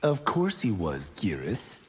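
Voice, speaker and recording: A man's voice: fairly low pitch, huge pitch swings, slow, full character voice. An actor playing a proud young male Shaolin warrior in a video game, brash and boastful, with long reverb. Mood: cocky, playful. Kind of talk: video game character dialogue. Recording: long reverb, faint noise.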